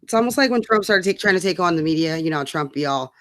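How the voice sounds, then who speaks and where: A woman's voice: fairly low pitch, expressive, fast, a bit bright. A woman in a casual political rant, blunt and exasperated, with slangy, profanity-laden talk. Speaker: co-host of political rant show.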